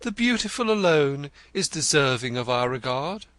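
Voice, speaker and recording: A vain, effusive poet in a storybook reading, as a male narrator puts him on, gushing in a high voice that leaps up and down. He is florid and stammers when pressed, with a bright tone. The sound is dry and close. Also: very animated, normal speed, dry recording. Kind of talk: audiobook character reading.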